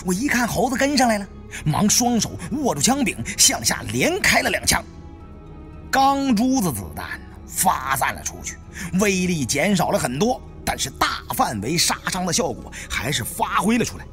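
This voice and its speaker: A male storyteller delivers suspenseful adventure narration in a theatrical style. His pitch swings very widely, and soft music plays behind him. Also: sing-song, normal speed. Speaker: audiobook narrator. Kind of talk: dramatic first-person adventure narration.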